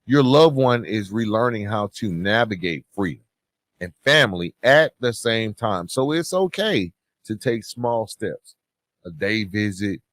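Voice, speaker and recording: A man hosting a podcast, giving earnest, reflective advice in a measured way and checking in with the listener as he goes. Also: on the low side, lively intonation, fairly bright tone, no echo, studio quality. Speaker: podcast host.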